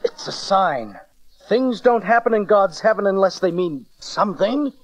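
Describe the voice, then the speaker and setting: A man's voice: high voice, big pitch swings, unhurried. An actor playing a reverent, awestruck shepherd father in a dramatized audio Bible. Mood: awed. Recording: dull cassette transfer, faint music behind.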